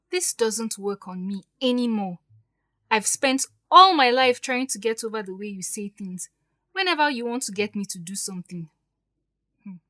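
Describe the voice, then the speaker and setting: A woman's voice: fairly high pitch, fairly bright tone, no echo, studio quality. A woman playing a defiant, hurt stepdaughter in an audio drama, speaking emphatically and with grievance. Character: defiant, wounded grown stepdaughter standing up for herself.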